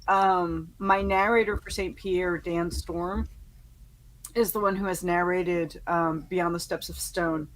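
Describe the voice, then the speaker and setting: An American woman talking casually as an author on a panel, chatty and quick to laugh. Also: lowish voice, fairly even intonation, rough recording. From New York state, United States.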